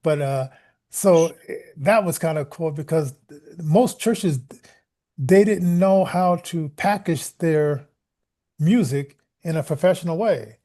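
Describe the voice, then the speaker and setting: A middle-aged American man telling anecdotes in casual chat, halting and restarting as he goes, getting animated when he quotes people. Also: fairly high pitch.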